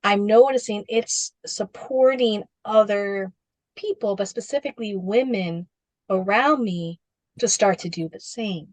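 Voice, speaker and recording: A woman's voice: mid-range voice, unhurried, no echo, low-quality recording. A woman guest in a casual interview, talking animatedly with lots of fillers and playful asides. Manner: animated, heavy use of "like", jumps into playful quoted voices. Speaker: interview guest, spiritual business coach.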